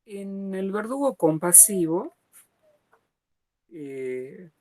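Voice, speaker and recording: A man giving an academic lecture, hesitant, with many pauses and fillers, slow and deliberate. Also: sits high, very animated, mellow, slight background noise.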